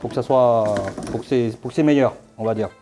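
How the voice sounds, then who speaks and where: A middle-aged man from Vietnam, a chef demonstrating dishes in his restaurant kitchen, talking haltingly with many fillers. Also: medium pitch. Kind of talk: cooking demonstration. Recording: faint music behind.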